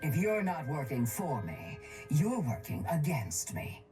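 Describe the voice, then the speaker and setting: A ruthless game villainess, a rich businesswoman with a low voice that swings widely in pitch. She is cold and haughty, measuring out her contempt slowly over a musical backing. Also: very animated, warm tone, soft background music. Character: ruthless wealthy businesswoman crime boss, cold and disdainful. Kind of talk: video game character voice lines.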